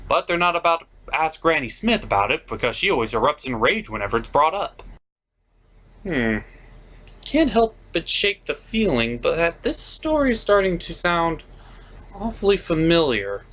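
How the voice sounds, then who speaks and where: Male host for scripted comic review narration, theatrical and exclamatory, with lively swings in pitch. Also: moderate pace.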